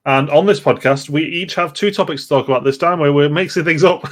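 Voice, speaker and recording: A man's voice: fairly high pitch, fairly quick. A man hosting a casual gaming podcast, chatty and digressive, often interrupting himself as he goes. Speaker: podcast host.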